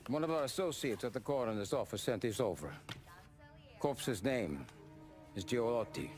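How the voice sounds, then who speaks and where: A man's voice: medium pitch, expressive, normal speed, music in the background, lightly acted. An actor playing a composed, businesslike American mafia adviser briefing his men in a dramatic game scene. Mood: measured, serious. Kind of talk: dramatic video game cutscene acting.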